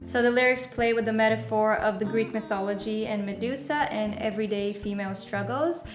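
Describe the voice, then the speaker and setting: A woman's voice: mid-range voice, brisk, reverberant, music in the background. A young woman in her twenties from Bulgaria, chatting upbeat and casually in an interview, with lots of "like" and "uh" fillers. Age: 20s. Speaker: singer-songwriter guest. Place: small bright studio room. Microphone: studio condenser mic on stand.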